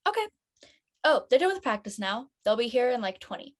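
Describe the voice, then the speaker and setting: A woman plays a friendly high school girl, studious and a little anxious, in an audio drama. Her voice sits fairly high and she talks casually. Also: very animated, brisk. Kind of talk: online dramatic table read.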